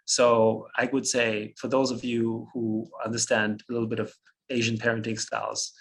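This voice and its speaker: A man's voice: medium pitch, creaky, a bit bright, poor audio. A German-speaking man in his fifties from Austria, a guest on an interview, telling his story reflectively, chuckling often and restarting phrases.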